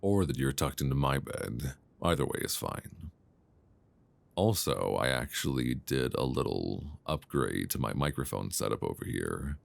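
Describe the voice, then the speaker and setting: A man playing an affectionate, gently teasing long-distance boyfriend in an intimate, unhurried ASMR roleplay voice message, speaking conversationally close to a binaural microphone. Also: a bass voice, very animated, vocal fry, no echo, heavily acted. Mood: tender, playful. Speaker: audio roleplay performer.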